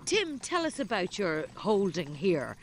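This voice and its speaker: A woman's voice: medium pitch, very animated, unhurried. A woman radio presenter with an Irish accent, asking curious questions during an interview recorded outdoors. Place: outdoors on a farm. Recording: faint outdoor noise, geese honking.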